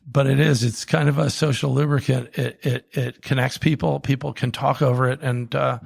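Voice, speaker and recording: A man's voice: mid-range voice, steady tone, normal speed. A man, a coffee company co-founder, talking reflectively in an interview, with many restarts and fillers. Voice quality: gravelly, husky.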